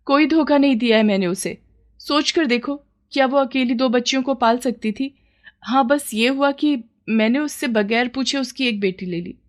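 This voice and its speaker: A woman's voice: high voice, moderate pace, pitched above the performer's own voice, lightly acted. A woman voicing a childless, yearning village woman who pleads and justifies herself in a dramatized moral story. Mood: defensive pleading. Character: childless village woman, yearning, scheming, defensive new mother.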